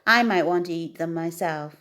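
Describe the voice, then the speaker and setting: A woman's voice: fairly low pitch, normal speed, a bit bright, close to an everyday voice. A woman voicing an earnest, secretive male cat in a children's storybook, speaking expressively. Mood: pondering. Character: earnest, secretive young male cat, thoughtful gift-giver.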